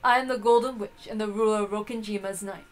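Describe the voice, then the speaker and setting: A woman's voice: medium pitch, steady tone, fast, soft background music, voice pushed down, close to an everyday voice. A woman voicing a haughty elder-sister witch with an arrogant, formal way of speaking in a visual novel reading. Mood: haughty, challenging. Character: haughty, self-proclaimed elder-sister golden witch, female.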